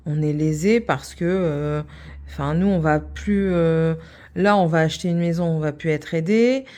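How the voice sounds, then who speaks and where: A woman's voice: deep voice, unhurried, a little mellow. A middle-aged woman from France, hosting a craft channel and chatting casually, rambling with many fillers and restarts.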